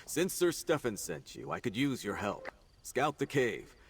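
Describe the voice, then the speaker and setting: A man's voice: mid-range voice, very animated, unhurried, a little hiss or hum. A man voicing a wary knight commander giving orders in a video game. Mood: grave, urgent.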